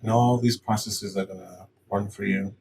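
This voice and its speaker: A man presenting a casual step-by-step software tutorial in a plain-spoken, instructional way. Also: fairly low pitch, fairly even intonation, moderate pace, gravelly. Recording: distant mic, faint background noise.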